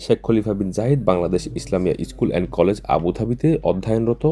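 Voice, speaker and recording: A man's voice: on the low side, fast, warm tone. A man with a Bangladeshi accent reading a scripted news report as a reporter.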